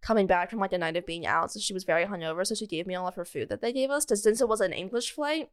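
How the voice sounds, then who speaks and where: Young woman podcaster, smooth clear voice, casual self-deprecating storyteller. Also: medium pitch, very fast. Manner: chatty, informal, self-mocking, peppered with "like". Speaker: podcast co-host.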